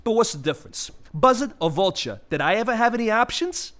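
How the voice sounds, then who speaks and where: A man's voice: sits high, very animated, a little fast, fairly bright tone. A man playing a bitter, sarcastic vulture with thwarted ambitions, ranting theatrically in a comic audio play. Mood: bitter, contemptuous.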